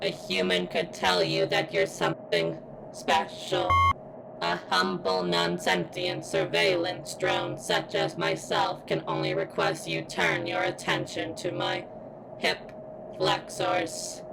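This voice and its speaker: A woman voicing a damaged female surveillance robot in an ASMR roleplay, speaking in formal, clinical, halting phrases with a strained, pained delivery. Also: extremely low pitch, gravelly, noisy background, heavily acted. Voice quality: strained, as if in pain. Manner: formal, clinical, stilted wording with halting pauses. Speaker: voice actor in audio roleplay. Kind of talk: ASMR roleplay, scripted character monologue.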